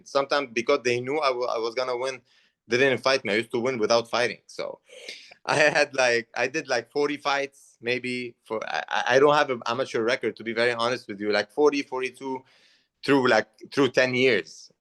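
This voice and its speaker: A man's voice: mid-range voice, a bit bright. Earnest Lebanese-accented young man. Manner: earnest, halting with restarts. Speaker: guest boxer. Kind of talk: interview answers.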